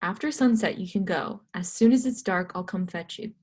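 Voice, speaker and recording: A woman's voice: lowish voice, normal speed, darkish tone, natural voice. A woman playing a reluctant, ageing astronomer mentor, hesitant, terse and evasive, in a readers theatre stage reading.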